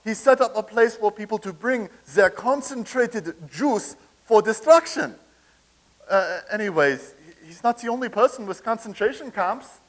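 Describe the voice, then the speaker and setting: A man's voice: high-pitched, animated, moderate pace. A man in a staged reading playing a pompous, blustering loyalist of a fascist dictator who stammers when challenged.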